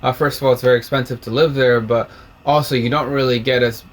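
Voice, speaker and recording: A man who grew up in Russia, co-hosting a casual video chat in a relaxed, reflective way. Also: medium pitch, fast, a little hiss or hum. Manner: relaxed, reflective, talks about faith. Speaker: co-host.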